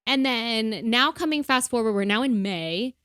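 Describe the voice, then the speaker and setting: A woman's voice: a little high, lively intonation, normal speed, fairly bright tone, no room sound, studio quality. A young woman co-hosting a podcast, chatting in a bubbly, casual way with lots of fillers. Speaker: podcast co-host. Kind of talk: casual chat.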